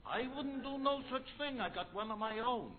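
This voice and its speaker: A bumbling slob who mangles his grammar and pleads his innocence. His voice is high and swings all over, and the old radio comedy recording sounds thin and phone-like. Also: lively intonation, normal speed. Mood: pleading. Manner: ungrammatical, pleading comic delivery. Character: bumbling American slob, Barney-type suspect, whiny. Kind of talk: 1970s radio comedy sketch. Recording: tinny lo-fi radio broadcast.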